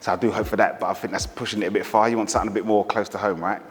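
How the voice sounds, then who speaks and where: Deep-voiced, conversational man. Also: fairly even intonation, very fast, long reverb tail. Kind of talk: interview testimony.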